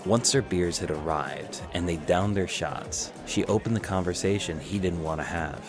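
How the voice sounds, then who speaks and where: A man narrates a piece of fan fiction from a script. His voice is low, and music plays clearly behind him as he tells the story. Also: normal speed.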